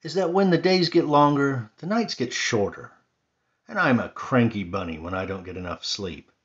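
A man's voice: mid-range voice, expressive, moderate pace, fairly bright tone, lo-fi sound, lightly acted. A man voicing a cranky male rabbit who keeps grumbling and complaining, in a storybook reading. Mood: grumpy.

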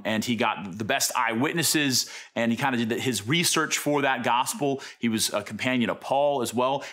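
Animated priest teaching to camera. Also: male voice, mid-range voice. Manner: animated, gesturing, frequent fillers and restarts. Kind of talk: unscripted teaching talk to camera. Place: dark indoor studio with brick wall.

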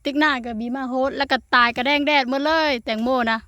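High-voiced Isan woman chatting outdoors. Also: fairly even intonation, quick pace, bright, forceful tone, dry recording, studio quality. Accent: northeastern Thai Isan. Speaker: vlogger. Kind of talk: casual chat. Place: outdoors in a rural garden.

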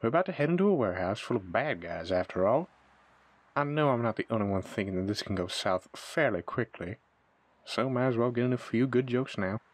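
A man's voice: mid-range voice, animated, fairly quick, dry recording, natural voice. A man playing an irreverent, wisecracking young shifter sidekick in an audio roleplay drama, flippant and joking.

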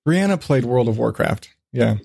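A man's voice: mid-range voice, expressive, fairly quick, gravelly, darkish tone, no room sound, clean studio sound. A man hosting a podcast in casual, profane chat, rambling along with irreverent, self-mocking asides. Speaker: podcast host.

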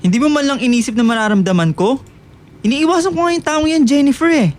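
A man's voice: very high-pitched, quick pace. A man acting an exasperated, scornful young man in a Filipino radio drama, blunt and accusing. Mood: annoyed.

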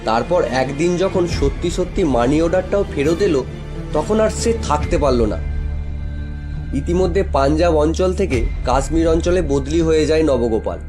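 A man narrating a scripted horror story in a measured, literary, dramatic manner. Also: on the high side, lively intonation, music in the background.

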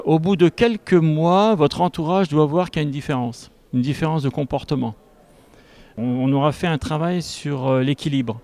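A man in his fifties speaking as an instructor in an interview, explaining step by step and haltingly into a handheld microphone. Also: mid-range voice, darkish tone, clear, resonant tone. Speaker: tai chi instructor. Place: large school gymnasium with a group behind. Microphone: handheld reporter microphone.

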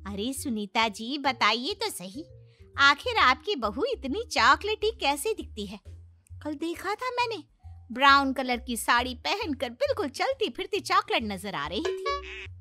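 A very high, piercing middle-aged neighbour woman from a cartoon moral story, acted by a woman. She gossips excitably and exclaims as she talks. Soft music sits behind the voice. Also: measured pace, heavily acted. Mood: eager.

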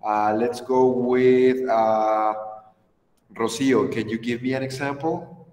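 A man's voice: medium pitch, steady tone, slow, darkish tone. Mexican-accented man in his 30s, patient English teacher on a video call, echoey room with long reverb. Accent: Mexican Spanish. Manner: patient, encouraging, switches to Spanish to explain. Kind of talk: online English class.

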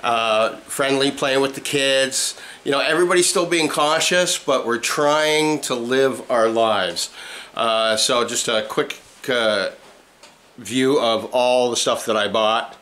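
A man's voice: mid-range voice, takes it slowly. Rambling man vlogging from home. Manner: relaxed, rambling, many "uh" fillers. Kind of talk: casual vlog chat. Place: apartment at home, indoors. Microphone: handheld camera mic, close.

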